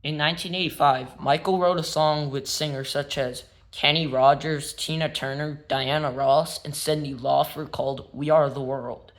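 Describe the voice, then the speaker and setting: A man narrating a biography as a read-aloud, telling it simply and in measured phrases. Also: on the high side, fairly even intonation. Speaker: narrator and show host.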